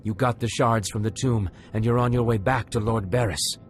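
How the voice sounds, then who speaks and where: An actor playing an anxious, craven young Sith trainee in a video game, earnest and faltering, with music underneath. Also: male voice, medium pitch, fairly even intonation, normal speed, lightly acted. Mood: desperate, resigned. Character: anxious, defeated male Sith acolyte, craven and grateful. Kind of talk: scripted video game drama.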